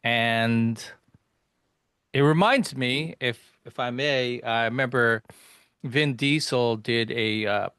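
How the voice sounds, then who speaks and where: A man's voice: mid-range voice, slow pace, fairly bright tone, clear, resonant tone, no echo. Hesitant male podcast host, dry sound. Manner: hesitant, many "um" and restarts. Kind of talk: interview questions, casual.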